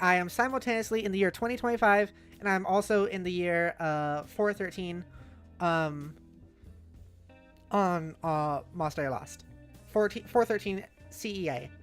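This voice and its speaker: A woman's voice: on the low side, measured pace, pauses often. A woman hosting a casual livestream, playful and sweary, reacting aloud with music behind her. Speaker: livestream host. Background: faint music.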